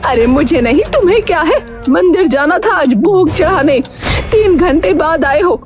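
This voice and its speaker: A woman's voice: very high-pitched, animated, normal speed, soft background music, pitched above the performer's own voice. A woman voicing a furious, nagging, sarcastic village wife in a cartoon story. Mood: angry, sarcastic. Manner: sarcastic, scolding. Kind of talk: Hindi cartoon story acting.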